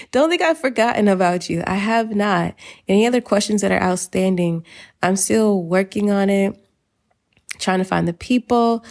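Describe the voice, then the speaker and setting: A woman speaks as a podcast host at a middle pitch. Her delivery is informal and chatty, addressing listeners directly as she moves through announcements and introductions. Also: gravelly, darkish tone.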